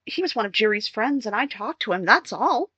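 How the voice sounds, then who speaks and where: An actress playing a distraught young wife in a 1950s-style radio crime drama, emotional, halting and pleading. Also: female voice, a little high, animated, sharp tone, breathy edge, no echo, dull audio, exaggerated voice. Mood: anguished recounting. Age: young adult.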